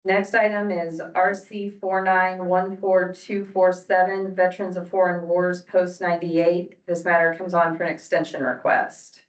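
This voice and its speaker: Female chair, slightly low voice, formal procedural wording, dull roomy sound. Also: level tone, unhurried, hardly any pauses. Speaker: commission chair. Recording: roomy, distant, muffled meeting-room audio.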